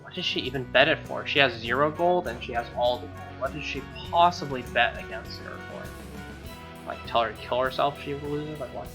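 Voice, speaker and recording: A man's voice: mid-range voice, moderate pace. A young man doing casual, slangy game commentary and reading the narration aloud, often sounding exasperated. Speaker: gamer doing a playthrough. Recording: dull lo-fi mic, music underneath. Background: game music.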